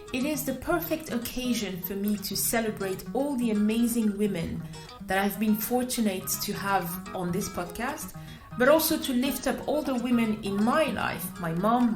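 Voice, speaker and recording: A woman hosts a podcast in a polished, carefully articulated way. Her voice sits at an ordinary middle pitch. She is recorded in an echoey room with faint music underneath. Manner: polished, clearly read and articulate. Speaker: podcast host. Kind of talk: scripted intro then interview questions. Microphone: remote recording.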